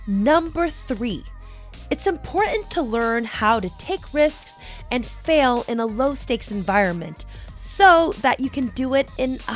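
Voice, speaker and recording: A young American woman speaks in a fairly high voice over music. She delivers a prepared motivational talk, explaining her points and putting them straight to the listener. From United States, small town in Colorado. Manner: direct address to listener, explanatory. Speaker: podcast host.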